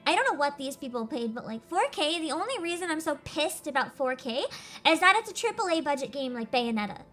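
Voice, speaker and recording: A woman's voice: high voice, sing-song, fairly quick, sharp tone, soft background music. A woman streamer chatting casually and giggling with her viewers, her throat congested from screaming. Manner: chatty and giggly, talks to chat. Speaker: game streamer. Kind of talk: casual live-stream chat.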